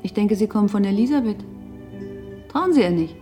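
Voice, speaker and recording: A woman's voice: medium pitch, expressive, brisk, warm tone, lightly acted. An actress plays a sardonic, self-assured girlfriend with a mid-range female voice. She is talkative and pointed, speaking with irony in a naturalistic radio play. Music sits faintly behind her. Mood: wry, talkative. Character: self-assured, sardonic, independent older girlfriend of a runaway man. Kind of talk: naturalistic radio drama acting.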